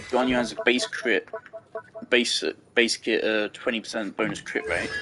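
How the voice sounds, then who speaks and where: A man's voice: fairly low pitch, a bit bright, slight background noise. A man giving casual gameplay commentary, rambling and thinking aloud as he goes. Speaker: game streamer.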